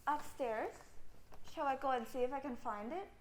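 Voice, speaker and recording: A woman in an amateur stage play acting an anxious, sharp-tongued young lady with an earnest delivery. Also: sits high, normal speed, slight background noise, lightly acted, poor audio.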